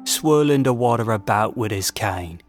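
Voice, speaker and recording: A man's voice: on the low side, animated, moderate pace. A man narrating an audio drama in a scripted, dramatic storytelling style. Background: music.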